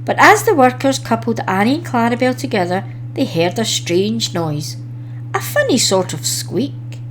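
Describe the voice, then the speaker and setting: A woman reading a children's story aloud as an expressive storyteller. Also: on the high side, moderate pace, slight background noise, poor audio.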